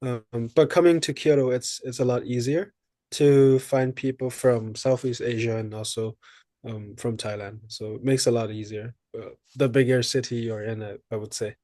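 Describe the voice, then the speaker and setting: Young male student panelist, mid-pitched, halting, dry recording. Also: steady tone, warm tone, no echo. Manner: hesitant, repeats words. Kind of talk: casual group discussion.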